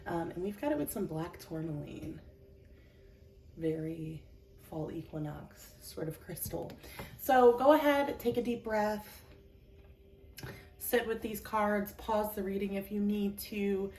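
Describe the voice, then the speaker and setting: A woman's voice: medium pitch, slow, pauses often, a little mellow. Chatty young woman, a bit sick, roomy echo. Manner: chatty, self-deprecating, laughs often. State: slightly sick with an itchy throat and chest cough. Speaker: tarot reader. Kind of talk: casual tarot reading to camera. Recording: roomy echo, mic at a distance, noisy.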